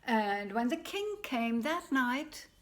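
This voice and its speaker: A woman telling a folk tale aloud, in a gentle, unhurried manner with small pauses. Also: fairly high pitch, big pitch swings. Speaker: storyteller. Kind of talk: oral folk tale storytelling.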